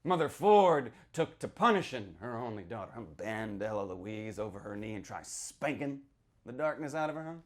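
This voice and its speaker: A man playing a folksy American small-town storyteller, telling a dark witch legend in a theatrical, conspiratorial way with questioning tags. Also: mid-range voice, sing-song, normal speed, lightly acted. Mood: gossipy, ominous. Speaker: author reading from a novel. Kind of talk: theatrical in-character reading of horror fiction.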